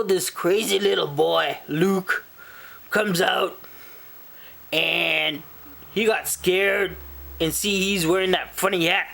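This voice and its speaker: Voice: mid-range voice, vocal fry, fairly bright tone, voice pushed down. A person voicing a friendly, dim, rambling male storybook moose with a hesitant, folksy manner. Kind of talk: in-character picture book retelling to children.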